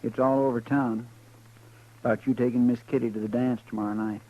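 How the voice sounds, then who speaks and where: A man's voice: medium pitch, flat delivery, fairly quick, lightly acted. A man playing a loyal, simple western deputy with a rural American drawl, hesitant and deferential, in a 1950s radio drama. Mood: uneasy, earnest. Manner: hesitant, stammering, folksy. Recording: muffled, noisy old radio recording.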